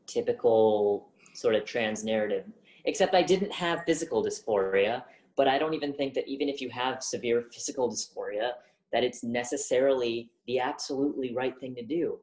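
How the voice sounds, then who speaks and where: Young woman, deep voice, halting thoughtful speech, roomy echo. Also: a little mellow. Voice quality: deep voice from testosterone. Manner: halting, thoughtful, many fillers and restarts. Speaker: guest. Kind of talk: reflective interview conversation.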